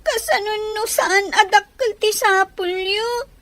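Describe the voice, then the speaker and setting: A sad little Ilocano girl in a radio drama, acted by a woman. Her voice is extremely high and bright, and she speaks slowly and obediently. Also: exaggerated voice. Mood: sad, pleading.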